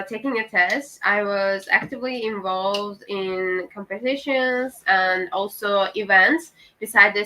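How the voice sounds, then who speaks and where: A young woman student, not a native English speaker, answering panel questions haltingly with frequent hesitations. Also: mid-range voice, pushed and bright, a little hiss or hum, low-quality recording. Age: young adult.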